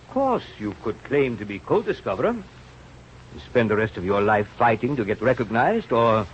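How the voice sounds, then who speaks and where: An actor playing an old, kindly, rueful scientist, speaking in halting, formal phrases in an old radio drama. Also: male voice, medium pitch, big pitch swings, lightly acted. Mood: gentle, philosophical. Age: older. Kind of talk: 1930s-40s radio drama acting. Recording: muffled, noisy old radio recording.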